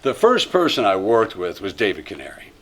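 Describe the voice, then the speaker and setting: A man in his sixties, a former soap actor, chatting easily as an interview guest in a small studio, trailing into quiet asides. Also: fairly low pitch, big pitch swings, a little fast, slight background noise. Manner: easygoing storyteller, drops to murmurs and asides. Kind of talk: relaxed interview conversation. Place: small studio set with couch.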